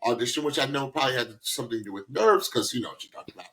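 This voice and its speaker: A man's voice: mid-range voice, lively intonation, very fast, a bit bright. A middle-aged man giving casual commentary as a podcast co-host, emphatic and repeating words for stress. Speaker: podcast co-host reviewing a singing show.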